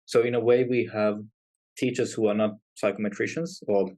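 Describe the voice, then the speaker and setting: A man's voice: lowish voice, steady tone, brisk, dark tone. A young adult man, a guest academic in a podcast interview, explaining ideas reflectively with light laughs.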